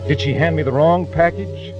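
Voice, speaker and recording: An American actor playing a desperate, nervy swindler turned killer, confessing in a tense, dramatic 1940s radio thriller style. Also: male voice, on the high side, fairly even intonation, moderate pace, muffled recording, lightly acted. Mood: nervous, furtive. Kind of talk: 1940s radio thriller acting, first-person narration. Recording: old band-limited radio recording with music.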